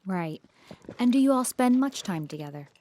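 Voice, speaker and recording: An actress plays an earnest audio documentary reporter. Her voice is mid-range and composed, delivering narration and questions with measured, straight-faced seriousness. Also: medium pitch, slight background noise, close to an everyday voice. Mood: inquiring. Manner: measured, deadpan. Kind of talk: scripted narration and interview.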